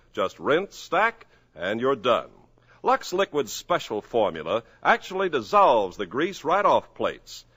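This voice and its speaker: A man's voice: mid-range voice, bright, forceful tone. A man reading a product commercial as the announcer of a 1950s radio show, with a cheerful salesman delivery. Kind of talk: read commercial. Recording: old 1950s radio broadcast.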